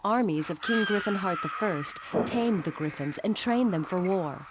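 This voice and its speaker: An actress playing a resolute female war commander in a game cutscene, speaking in a solemn, measured and formal way. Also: mid-range voice, animated, close to an everyday voice. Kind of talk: scripted cutscene narration. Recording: clearly noisy old game audio.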